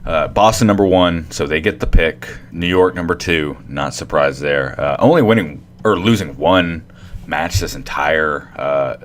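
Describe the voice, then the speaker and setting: A man with a very low voice co-hosts a relaxed podcast, easygoing and quick to agree. He talks in a casual, unhurried way. A faint hum runs under the recording. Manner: laid-back, agreeable, frequent yeahs. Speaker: podcast co-host. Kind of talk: casual esports podcast chat.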